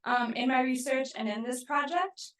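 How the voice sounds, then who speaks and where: A woman's voice: high voice, moderate pace, a bit bright. A young woman from Venezuela giving an academic conference talk, with many "um" and "kind of" fillers. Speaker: conference presenter.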